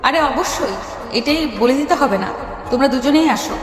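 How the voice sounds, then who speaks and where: Woman as playful commanding chairwoman, high pitch, audio story, reverberant. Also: lively intonation, moderate pace. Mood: coaxing. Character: assertive, playful lady college chairperson. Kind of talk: Bengali audio story acting. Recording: echoey long reverb, music bed.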